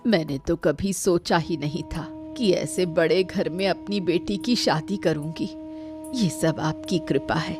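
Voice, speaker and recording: A woman plays a humble cartoon mother handing her daughter over to a richer family. She speaks gently in a lowish voice. Music runs clearly underneath. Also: a little slow, close to an everyday voice. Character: humble, grateful mother of the bride. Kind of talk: cartoon story dialogue.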